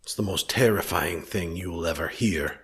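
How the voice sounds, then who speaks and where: A man playing an earnest, uneasy young gentleman heir in an audio drama, with a dramatic delivery. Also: low voice, moderate pace, natural voice. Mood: brooding. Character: Sir Henry Baskerville, earnest, uneasy young heir from Canada.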